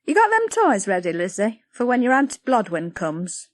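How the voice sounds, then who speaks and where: A woman's voice: on the high side, huge pitch swings, dry recording. A woman doing a scolding village baker. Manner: scolding, brisk, dismissive. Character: sharp-tongued middle-aged village baker woman.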